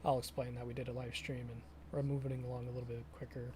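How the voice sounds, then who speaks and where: A young man chatting casually with viewers during a live stream of a farming game, often pausing and restarting his sentences. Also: medium pitch, level tone, background noise. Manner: halting, self-correcting, chatty with viewers.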